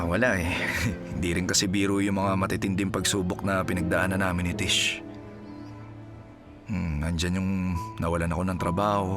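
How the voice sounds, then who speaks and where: An actor playing a humbled, weary single father in a Filipino radio drama, hesitant and apologetic, with a Filipino accent. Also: low-pitched. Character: weary, humbled jobless single father fallen on hard times. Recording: music behind the voice.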